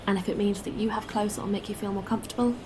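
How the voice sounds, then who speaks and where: A woman's voice: mid-range voice, fairly even intonation, fast, background noise, close to an everyday voice. Woman playing an earnest, protective space traveller. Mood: kind, friendly. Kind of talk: audio drama acting.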